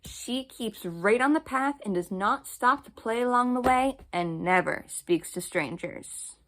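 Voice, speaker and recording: A woman's voice: a little high, expressive, measured pace, fairly bright tone. A woman narrating a children's bedtime story as she reads from a storybook. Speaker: storybook reader.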